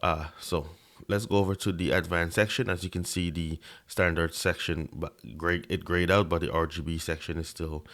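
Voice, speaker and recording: A man's voice: deep voice, fairly even intonation, moderate pace, a bit bright. A man giving a casual tech review at his desk, talking into a desk microphone, often stuttering and repeating words. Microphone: desk microphone under review.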